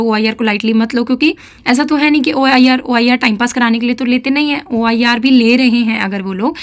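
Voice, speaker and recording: Chatty young woman with a slightly sore throat. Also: fairly high pitch. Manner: chatty, mixes English phrases, frequent "theek hai" check-ins. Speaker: defence exam aspirant vlogger. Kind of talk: casual advice vlog.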